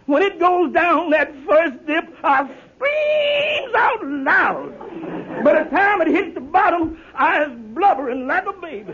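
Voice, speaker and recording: A blustering, excitable comic schemer in a 1950s radio comedy. The voice is extremely high for a man, with folksy grammar and drawn-out, repeated words. The old broadcast sounds muffled. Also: heavily acted. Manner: folksy, ungrammatical, stammering repetitions, drawn-out emphasis. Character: excitable, blustering male schemer and soapbox orator friend.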